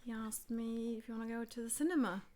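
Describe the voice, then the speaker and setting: A woman's voice: on the high side, steady tone, fast talker, a little mellow. Mother co-host, 40s, light German accent with English rounding, chatty and laughing storyteller. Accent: German, softened by years in England. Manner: chatty storyteller, laughs often, many restarts and ums. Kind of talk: casual family chat.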